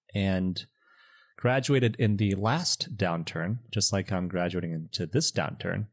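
A man in his thirties, born in China and raised in Michigan, telling his story in an upbeat, reflective way. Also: low voice. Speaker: recent MBA graduate guest. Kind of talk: casual interview answers.

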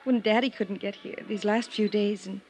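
A woman's voice: mid-range voice, steady tone, moderate pace, a little mellow, close to an everyday voice, low-quality recording. Tender young woman, acted, old radio melodrama. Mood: emotional, tender. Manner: tender, emotional, breaking off. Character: grieving, grateful, affectionate young woman. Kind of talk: 1950s American radio melodrama acting. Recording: 1950s radio broadcast with music.

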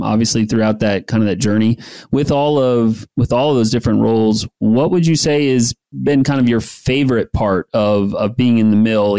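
A man's voice: medium pitch, dark tone, no room sound. A man hosts a podcast interview in a mid-range voice. His questions are loose and rambling, with repeated words. The recording is dry and close. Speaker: podcast host. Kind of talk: casual interview hosting.

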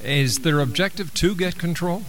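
A man's voice: a little high, normal speed, a bit bright, slight background noise. A man hosting a radio interview, courteous and affectionate with his guest, with some stammered restarts. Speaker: radio talk show host.

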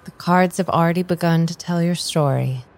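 A woman playing a calm, enigmatic tarot reader in an improvised audio drama, speaking in a measured, soothing and formal way. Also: on the low side, close to an everyday voice. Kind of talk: improvised radio drama acting. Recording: faint background ambience noise.

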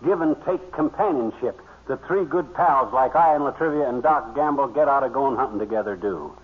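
Actor as a boastful folksy duck hunter, tinny old radio. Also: male voice, mid-range voice, normal speed, close to an everyday voice. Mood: self-justifying. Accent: American. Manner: folksy, bragging, slangy. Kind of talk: 1940s radio comedy acting. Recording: tinny vintage radio recording, faint noise.

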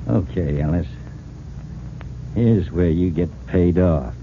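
Cold gangster, vintage radio crime serial: very low, curt, tough, tinny with heavy hiss. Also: male voice, slow pace. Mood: cold menace. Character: cold, menacing criminal boss, adult man. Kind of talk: old-time radio drama acting. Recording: noisy narrow-band vintage radio recording.

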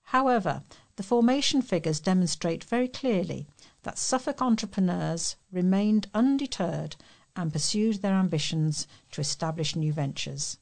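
Creaky low-voiced woman reading news. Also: animated, moderate pace, a little mellow. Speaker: volunteer news reader. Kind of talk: reading local news articles aloud.

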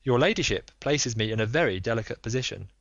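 A man voicing a reserved Victorian male detective who speaks in a measured, formal and guarded way. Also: mid-range voice, lively intonation, dry recording. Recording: dull lo-fi dry recording.

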